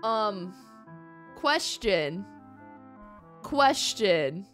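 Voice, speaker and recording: A woman's voice: mid-range voice, very animated, very slow, fairly bright tone. A young woman doing casual live gaming commentary, playful, chatty and emotive, often repeating her words. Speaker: game streamer. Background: game music.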